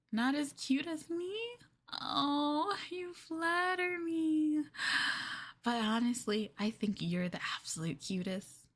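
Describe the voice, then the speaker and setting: Woman acting as obsessive yandere girlfriend, ASMR roleplay, soft and sweet, slightly high pitch, slow pace, hesitant, doting. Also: full character voice.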